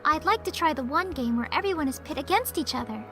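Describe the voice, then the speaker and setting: A woman's voice: high-pitched, expressive, fast talker. A woman playing a shy, polite, sweet young woman in an anime-style visual novel game. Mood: gentle, polite. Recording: game dialogue over clear background music.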